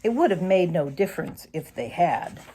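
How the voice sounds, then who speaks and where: A woman reads a children's story aloud as narrator, in an expressive storytelling style. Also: fairly low pitch, normal speed, slightly rough. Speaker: storybook reader.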